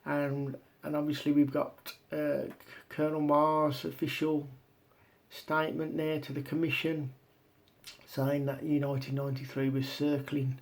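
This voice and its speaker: A man giving informal explanatory commentary as a video presenter, talking in a halting, step-by-step way. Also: fairly high pitch, level tone. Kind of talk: informal explanatory commentary over on-screen maps and documents.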